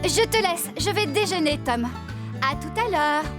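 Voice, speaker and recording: A woman's voice: very high-pitched, animated, unhurried. A woman playing a cheerful, practical farmer in a French-dubbed children's cartoon. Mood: brisk, cheerful. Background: music.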